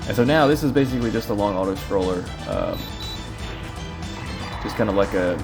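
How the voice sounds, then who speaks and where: A man casually commentating his own speedrun, explaining routes and bosses in a relaxed, rambling way with plenty of fillers. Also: on the low side, fairly quick, gravelly. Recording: game music playing loudly behind. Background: game music.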